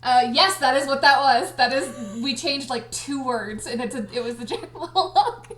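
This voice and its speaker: Giggly, higher-voiced woman in an echoey room. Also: normal speed. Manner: giggly, excitable, frequently breaking into laughter. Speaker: audio drama creator and cast party host. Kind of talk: casual livestream chat. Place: indoors on a group livestream call.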